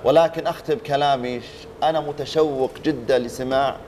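Tv judge giving advice, pretty quick, lapel mic. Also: male voice, mid-range voice, steady tone, darkish tone, a little hiss or hum. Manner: measured, advising. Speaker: judge on a talent show panel. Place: TV studio set.

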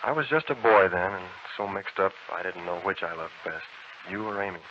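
An actor playing a boyish, affectionate young gentleman in a playful, sincere 1940s radio drama style. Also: deep voice, expressive, a little fast. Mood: sincere, tender. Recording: muffled, noisy 1940s radio recording.

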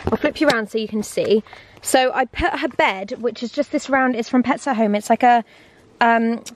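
A woman's voice: a little high, moderate pace, a little hiss or hum, poor audio. A young adult woman, chatting casually and informally to camera as a vlogger. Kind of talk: casual vlog chat to camera.

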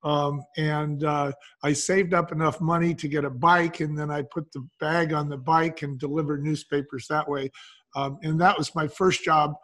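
A man whose voice sits somewhat high. He talks as an interview guest, sharing anecdotes in a conversational style. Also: level tone, moderate pace, fairly bright tone. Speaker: podcast guest, entrepreneur.

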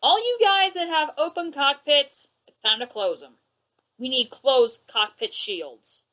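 A woman's voice: high voice, animated, unhurried, bright, forceful tone, poor audio. Fired-up young woman ranting at her webcam. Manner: indignant, sarcastic, gestures a lot. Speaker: vlogger. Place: living room, indoors. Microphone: webcam at close range.

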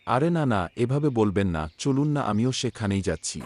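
A man's voice: mid-range voice, expressive, normal speed, darkish tone, close to an everyday voice. Man playing an obliging driver, faint noise. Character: obliging male driver, friendly stranger.

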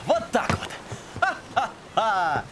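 Swaggering young cartoon captain, high-pitched, wildly animated delivery, music behind. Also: male voice, slow pace, noisy background, heavily acted. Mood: instructing. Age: young adult. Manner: theatrical, excitable. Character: cheerful, swaggering young pirate captain, dramatic and upbeat. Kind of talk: animated film voice acting.

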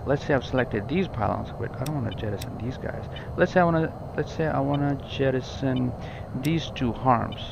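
A man's voice: mid-range voice, a little fast, gravelly, few pauses, dull audio, background noise. A man giving a casual, explanatory walkthrough of a flight simulator cockpit, informally repeating and rephrasing as he goes. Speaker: gaming tutorial presenter.

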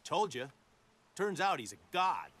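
An actor playing a breezy, wisecracking fighter in a video game, talking casually and flippantly. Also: male voice, fairly high pitch, big pitch swings, measured pace, a bit bright, slight background noise. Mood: cocky, playful.

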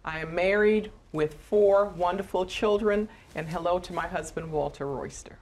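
A woman in her forties from Trinidad and Tobago, a missing persons advocate, speaking calmly and in a measured way to camera on a studio stage. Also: lowish voice, poor audio. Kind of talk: direct-to-camera personal talk.

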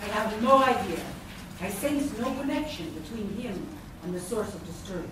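A woman's voice: medium pitch, animated, moderate pace, natural voice. An actress playing an earnest, commanding female gargoyle, formal and urgent, in a live radio play. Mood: puzzled. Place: large hotel conference room with seated audience. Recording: echoey noisy room, recorded from far off.

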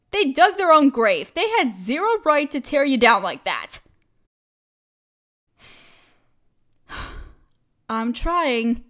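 A woman's voice: sits high, exaggerated voice. A woman acting as a caring, protective best friend in an audio roleplay, speaking casually and emphatically. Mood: outraged. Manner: expressive, emphatic, casual with mild swearing.

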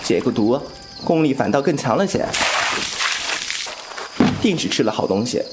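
A man's voice: medium pitch, lively intonation, moderate pace, over loud music, natural voice. A man playing a cheeky, candid young swordsman in a Chinese audio drama, with a playful, casual manner. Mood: playful, teasing. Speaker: voice actor.

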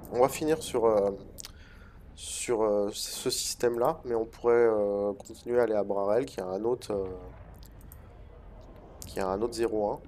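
Casting note: man, stuffy with a cold, mellow dark tone, hesitant gaming commentary, faint room hiss. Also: on the low side, a little slow, long pauses. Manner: hesitant, many "euh" fillers and restarts. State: has a head cold. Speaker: gaming video host. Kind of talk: relaxed commentary over gameplay.

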